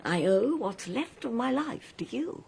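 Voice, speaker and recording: A woman's voice: fairly high pitch, expressive, measured pace, creaky, darkish tone, husky, heavily acted. An actress playing a grateful, twinkly older lady in a radio drama, with a British accent and a genteel, effusive, teasing manner. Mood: warm gratitude.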